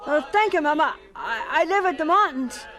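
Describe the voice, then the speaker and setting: A woman's voice: high voice, expressive, normal speed, a bit bright, poor audio. A woman playing a polite, caring orphan schoolboy in a radio drama, earnest and folksy. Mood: polite, shy.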